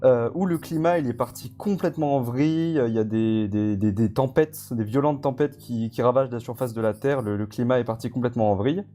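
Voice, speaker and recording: Mellow-voiced man, hesitant casual interview guest. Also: medium pitch, a little fast, few pauses, soft background music. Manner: hesitant, informal, rambling. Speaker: guest, audio fiction creator.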